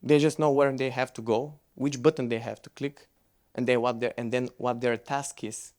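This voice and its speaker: Male presenter, English, relaxed, conversational, stumbles and restarts mid-sentence. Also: medium pitch, normal speed. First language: Bulgarian. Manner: loose, conversational, with frequent restarts. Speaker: conference speaker. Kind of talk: conference presentation with Q&A.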